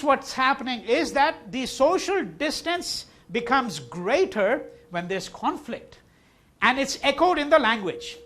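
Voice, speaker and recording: Animated male lecturer, high voice. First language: Hindi. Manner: repeats key words for emphasis, asks and answers own questions. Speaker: university lecturer. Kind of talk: classroom lecture. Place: lecture hall.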